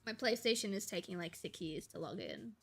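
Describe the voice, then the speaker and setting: Bright-voiced woman streamer. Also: lowish voice, wildly swooping pitch, fairly quick, soft background music. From Australia. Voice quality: slight gravel in the voice when talking quietly. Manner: chatty, rambling, laughs easily, thinks aloud. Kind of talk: casual live-stream chat to viewers.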